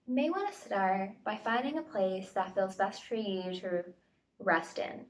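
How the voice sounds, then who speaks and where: A woman facilitator leading a guided body scan meditation with gentle, tentative invitations and pauses, recorded at home. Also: medium pitch, animated. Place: at home, indoors. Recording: home recording, distant mic.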